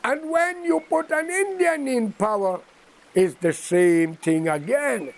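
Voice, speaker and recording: A man's voice: very high voice, lively intonation, slow. An older man with a Guyanese accent giving an impromptu political address in a preachy, admonishing, emphatic manner. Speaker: political commentator.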